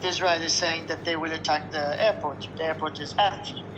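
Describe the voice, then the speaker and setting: A man's voice: fairly high pitch, steady tone, moderate pace. A Lebanese man who runs a restaurant, answering a news interview in an earnest, weary way with short restarting phrases. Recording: dull lo-fi line with noise.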